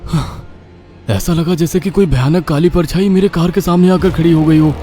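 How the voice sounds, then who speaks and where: A man's voice: on the high side, steady tone, normal speed, warm tone, pitched above the performer's own voice, natural voice. A man voicing a cheerful young father in a cartoon story, breezy but easily panicked. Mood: alarmed. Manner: breezy, then stammering. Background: background music.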